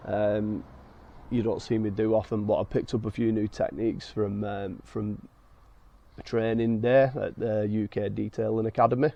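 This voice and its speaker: A man with a croaky, hoarse voice from being ill, hosting a car detailing vlog and explaining each step in a chatty way with many fillers. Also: fairly low pitch, flat delivery, moderate pace, dark tone. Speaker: car detailing channel host. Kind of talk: casual talk to camera with fillers. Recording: dull lo-fi sound.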